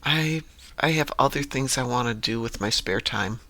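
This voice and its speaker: A man's voice: medium pitch, normal speed, sharp tone. A man streaming a game, chatting casually and musing aloud as he sorts through menus. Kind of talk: casual chat while gaming.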